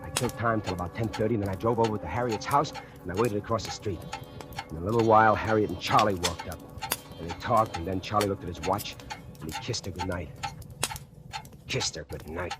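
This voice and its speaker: A man's voice: low-pitched, a little fast, lightly acted. Scheming killer narrator, confiding and smug, played by a man, old radio drama with music behind. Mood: sly. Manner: sly, confiding, gloating. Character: scheming male factory worker narrating his murder plot. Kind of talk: 1940s radio acting.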